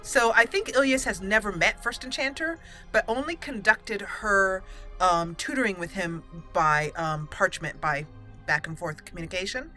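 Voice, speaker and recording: A woman's voice: medium pitch, lively intonation, normal speed, bright, forceful tone. A woman hosting a gaming channel, giving chatty, exclamatory gameplay commentary and talking to the characters as she plays. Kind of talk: casual gameplay commentary with roleplay. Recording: game music behind.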